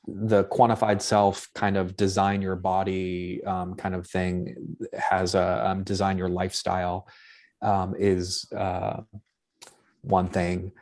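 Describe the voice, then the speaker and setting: Low-voiced man with a light Boston accent. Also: darkish tone. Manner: thinks aloud, tentative and searching. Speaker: anthropologist panelist. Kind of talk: academic panel discussion.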